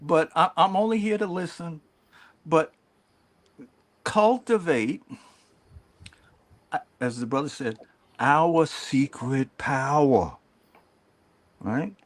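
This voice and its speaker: A man speaking as a panelist in a group discussion in a slow, measured, preacherly manner. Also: a little high.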